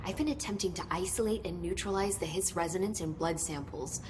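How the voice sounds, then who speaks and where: A woman playing an excitable lab scientist. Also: on the low side, a little hiss or hum, close to an everyday voice, low-quality recording. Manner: brisk, gets carried away with enthusiasm. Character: excitable female research scientist.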